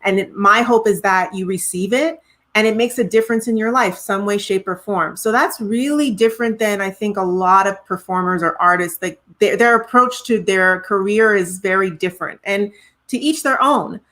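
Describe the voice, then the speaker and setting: A woman's voice: medium pitch, moderate pace, a bit bright. A middle-aged woman from Trinidad and Tobago with a Trinidadian accent, speaking earnestly as a guest in an interview, often restarting her sentences. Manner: earnest, reflective, frequent restarts. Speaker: guest, professional singer. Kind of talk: conversational interview.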